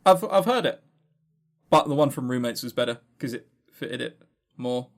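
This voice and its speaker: A man's voice: mid-range voice, lively intonation, moderate pace. A man co-hosting a podcast in casual chat, rambling along with sarcastic, dry humour. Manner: swears casually. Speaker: podcast co-host. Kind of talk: casual chat running through award lists.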